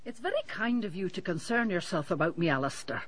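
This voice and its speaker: A woman's voice: fairly low pitch, expressive, fairly quick, low-quality recording. An actress playing a proud, easily offended genteel middle-aged woman in a radio drama, stiff and formal in manner. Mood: stiffly polite.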